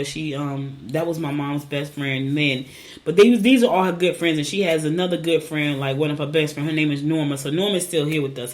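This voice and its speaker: A middle-aged woman from New Jersey hosting a morning show, her voice raspy and scratchy as she rambles through personal stories. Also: low-pitched. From Newark, New Jersey. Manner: rambling, chatty, warm personal storytelling. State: hoarse, clearing throat. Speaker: podcast host. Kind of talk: casual morning show chat.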